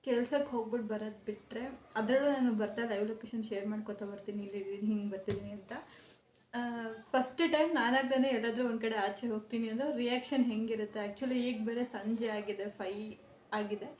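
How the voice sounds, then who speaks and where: A woman's voice: fairly high pitch, fairly even intonation, fast talker, darkish tone. Casual woman vlogger, playful and insistent, roomy sound with a faint hiss behind. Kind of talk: casual vlog and prank phone call.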